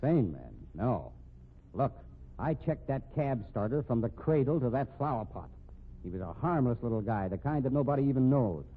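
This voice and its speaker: Exasperated male police captain played by an actor, 1950s radio drama. Slangy, needling, very lively, thin and noisy old sound. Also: mid-range voice, moderate pace, narrow, tinny audio, lightly acted. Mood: sardonic.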